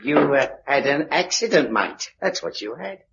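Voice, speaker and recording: A man's voice: mid-range voice, animated, moderate pace, a bit bright, lo-fi sound, slight background noise, natural voice. An actor playing a cheerful, chatty working-class henchman with a Cockney accent in a radio drama. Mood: matey, soothing.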